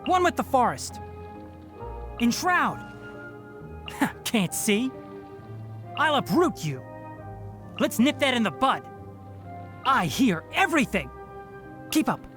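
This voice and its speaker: Video game role: young fox-eared forest scholar, wry and caring, precise diction, animated melodic delivery, music underneath. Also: male voice, very high-pitched, takes it slowly, pauses often, full character voice. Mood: sharp, battle-ready. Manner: articulate, dryly matter-of-fact, slightly smug. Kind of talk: scripted game character voice lines.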